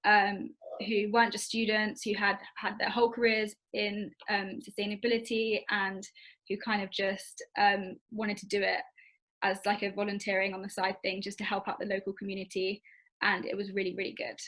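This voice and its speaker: Young woman, relaxed and chatty, reads out questions on a video call. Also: medium pitch, moderate pace, rough recording. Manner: casual, uses "like" and "um". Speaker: Q&A moderator.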